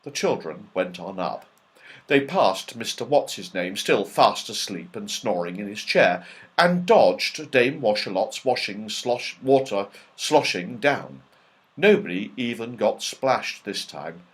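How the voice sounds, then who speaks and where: A man reads a children's story with expressive, dramatic delivery. His pitch moves in lively swings, and he keeps an unhurried pace. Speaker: audiobook reader. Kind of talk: animated children's storybook narration.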